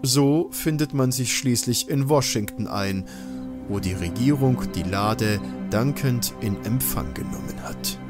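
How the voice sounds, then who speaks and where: A man's voice: mid-range voice, a little slow, loud music behind the voice. A man delivering dramatic scripted narration for an adventure audio drama.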